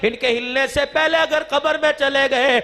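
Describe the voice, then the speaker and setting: A man's voice: very high-pitched, fairly even intonation, sharp tone. A man preaching an impassioned Islamic sermon, declaiming and drawing phrases out into a chant. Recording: long hall reverb, music-like sound behind.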